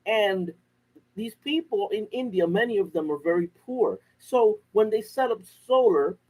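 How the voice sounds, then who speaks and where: Brief: higher-voiced man from Puerto Rico, casual vlogger, loose and rambling delivery. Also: animated, measured pace, darkish tone, rough recording. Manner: rambling, many fillers and restarts. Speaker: off-grid living vlogger. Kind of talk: casual talk to camera.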